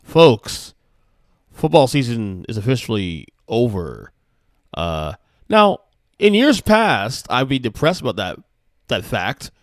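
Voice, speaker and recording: A man's voice: medium pitch, sing-song, slow pace, long pauses, no room sound, studio quality. Rambling forty-year-old male podcast host. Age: 40s. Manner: rambling, self-correcting, chatty. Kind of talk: casual solo sports talk.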